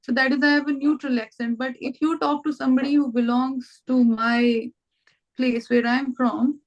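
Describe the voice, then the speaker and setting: Indian woman tutor, high voice, slightly dull call audio, slow patient repetition. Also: little pitch movement. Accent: Indian English. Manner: patient, repeats words slowly for the student. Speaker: language tutor. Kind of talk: online language lesson. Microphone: video call.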